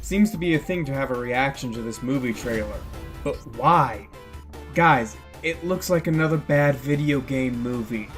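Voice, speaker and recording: A man's voice: mid-range voice, moderate pace, over music. A man giving casual, opinionated film commentary in a blunt, sardonic way. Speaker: video essayist reviewing films.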